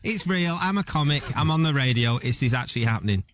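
A man's voice: mid-range voice, animated, fast, fairly bright tone, a little hiss or hum. A man guesting on a radio show as a comedian, wry and joking.

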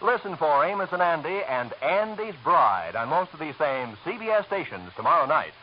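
A male radio announcer giving a smooth network promo between acts. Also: a little high, sing-song, moderate pace, highs cut off. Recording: hissy old radio broadcast recording.

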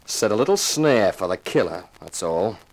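A man's voice: fairly low pitch, big pitch swings, moderate pace, loud music behind the voice, rough recording. An actor playing a tough, wisecracking insurance investigator who narrates his own case in a 1950s radio crime drama, with a sardonic, commanding manner. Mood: brisk, commanding. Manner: hard-boiled, sardonic, commanding.

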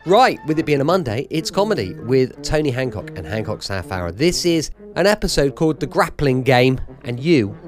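A man's voice: medium pitch, very animated, moderate pace, few pauses, faint music behind. A man chatting casually as he introduces his radio show.

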